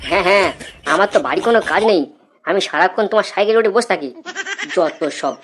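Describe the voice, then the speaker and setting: A man voicing an irritable middle-aged housewife in a comic cartoon, sarcastic and sharp-tongued. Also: sits high, fast talker, noisy background, lightly acted. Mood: irritated. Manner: sarcastic, nagging.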